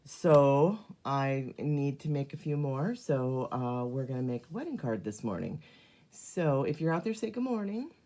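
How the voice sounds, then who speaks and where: A hoarse middle-aged woman hosts a relaxed live craft stream. Her voice sits very low and sounds warm and mellow. She rambles in a friendly way with plenty of ums. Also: unhurried. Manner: chatty, many ums, greets viewers by name. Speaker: card-making demonstrator hosting a live stream. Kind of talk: casual live craft demonstration.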